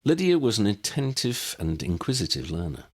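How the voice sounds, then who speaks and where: A man's voice: on the low side, sing-song, moderate pace, slightly rough. A man reading a fantasy story as an audiobook narrator. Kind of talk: scripted fiction narration.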